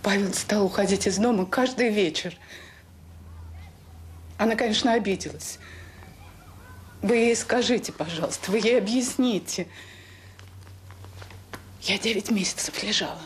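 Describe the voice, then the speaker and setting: A woman's voice: mid-range voice, a little slow, pauses often, a little hiss or hum, close to an everyday voice. An actress playing an anxious, wounded middle-aged mother in a radio drama, protective and emotional in manner. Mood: anguished pleading. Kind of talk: Soviet radio drama acting.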